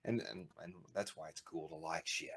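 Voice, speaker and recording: A man's voice: a bass voice, normal speed, husky. A man co-hosting a casual show, talking in rambling bursts with lots of restarts. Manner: rambling, animated, frequent restarts and laughs. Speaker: podcast co-host. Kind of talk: casual chat.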